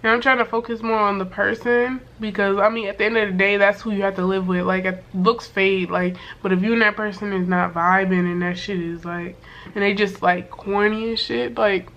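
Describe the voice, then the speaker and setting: A young woman with a voice worn hoarse from sickness, telling a story to camera in a loose, slangy way full of fillers and swearing. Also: medium pitch, steady tone, brisk, fairly bright tone, muffled recording. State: hoarse from being sick. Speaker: vlogger. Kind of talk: casual storytime chat to camera.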